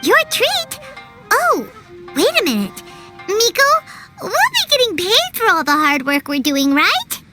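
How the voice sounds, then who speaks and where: A woman voicing a small, excitable female fairy companion who refers to herself by name, in a video game. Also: extremely high pitch, measured pace, exaggerated voice. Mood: bubbly. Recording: game audio with loud music.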